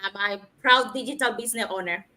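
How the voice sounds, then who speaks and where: A woman's voice: medium pitch, fast talker, sharp tone. A woman from Cuba co-hosting an online talk show, reading out panelist lists. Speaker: co-host and panelist. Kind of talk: casual talk show chat.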